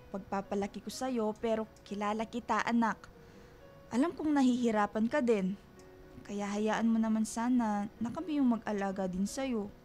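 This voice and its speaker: A middle-aged mother, acted by a woman, in a radio drama, speaking fairly high over music. She is ailing but tender and reassuring, fretting with gentle stubbornness. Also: lightly acted. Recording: music bed under dialogue. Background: background music.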